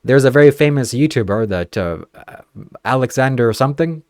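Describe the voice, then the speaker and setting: A man's voice: mid-range voice, lively intonation, moderate pace, darkish tone, dry recording, clean studio sound. A young man from Ukraine chatting casually on a podcast, given to sarcastic jokes and asides. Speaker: podcast host.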